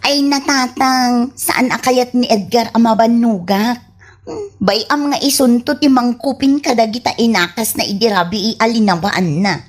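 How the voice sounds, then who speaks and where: A woman's voice: on the high side, moderate pace, natural voice. A woman playing a vain, whiny, boastful returnee from abroad in an Ilocano radio comedy drama, speaking theatrically with an Ilocano accent. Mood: complaining.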